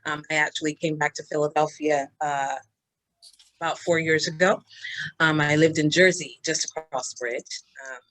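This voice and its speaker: A woman from Barbados introduces herself in a friendly, rambling way, full of fillers. Her voice sits very low. It sounds dry and close to the mic. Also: a bit bright, dry recording, low-quality recording. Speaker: guest, minister and business owner. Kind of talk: self-introduction in an interview.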